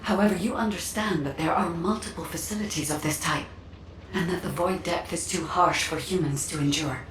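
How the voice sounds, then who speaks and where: An actress playing a calm, enigmatic non-human guide in a video game, formal, measured and detached. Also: female voice, fairly low pitch, a little hiss or hum, natural voice. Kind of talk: scripted game dialogue. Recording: echoey, distant, faint ambience.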